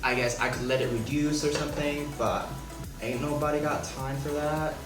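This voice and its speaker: A man's voice: mid-range voice, fairly even intonation, moderate pace. A young man from Hong Kong chatting playfully while he cooks in his small apartment. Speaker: vlogger, home cook. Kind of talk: casual cooking vlog chat. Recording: echoey room with music behind.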